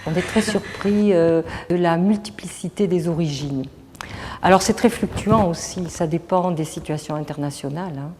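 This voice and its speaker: A middle-aged woman, a teacher, calmly explaining her association in an interview, with frequent hesitations. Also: on the low side. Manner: measured, explanatory, many hesitations. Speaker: teacher running a French course association. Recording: echoey hall, faint background noise.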